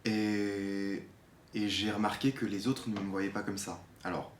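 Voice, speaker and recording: A young man around twenty speaks in a fairly low voice. He gives a personal testimony off the cuff, hesitantly, often repeating words as he looks for the next one. The room is clearly echoey, with faint noise underneath. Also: little pitch movement, unhurried. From Geneva, Switzerland. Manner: hesitant, searching, repeats words while explaining. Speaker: drama student giving a testimony.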